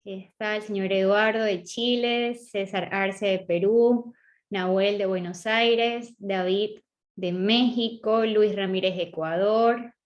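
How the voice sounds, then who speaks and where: A woman from southern Venezuela, with a Venezuelan accent that sounds a bit Colombian, hosting an online webinar and greeting attendees warmly by name. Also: mid-range voice, steady tone, slow pace. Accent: Venezuelan, slightly Colombian-sounding. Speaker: webinar host. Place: online video call.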